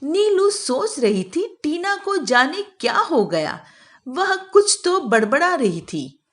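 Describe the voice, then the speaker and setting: A woman storyteller with a notably high voice narrates a scripted moral tale for children. Her pace is unhurried and her intonation is very lively.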